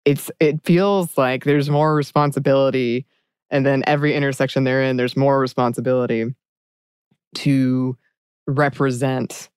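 Deep-voiced, smooth, reflective podcast host woman. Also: fairly even intonation, moderate pace, darkish tone, dry recording. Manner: reflective, self-deprecating. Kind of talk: casual podcast chat. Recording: dry close studio sound.

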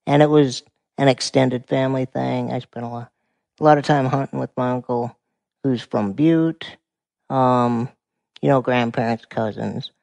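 A man in his forties from Montana with a quiet voice, calmly explaining things as a podcast guest. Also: medium pitch, creaky, no echo. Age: 40s. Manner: calm, explanatory. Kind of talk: casual conversation.

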